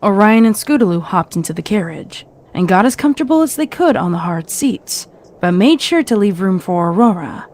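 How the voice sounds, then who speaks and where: A woman reading scripted story narration in a measured, dramatic way. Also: medium pitch, very animated, darkish tone.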